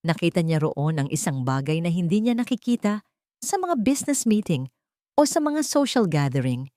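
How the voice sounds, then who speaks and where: A woman's voice: lowish voice, dark tone, no echo, studio quality. A woman narrating a scripted romantic story in a dramatic storytelling style.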